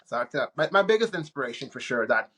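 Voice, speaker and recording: A man from the Bahamas, probably in his thirties, chatting as an interview guest in a relaxed, rambling way with frequent restarts. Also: mid-range voice, fast talker, slightly rough. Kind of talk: casual interview chat.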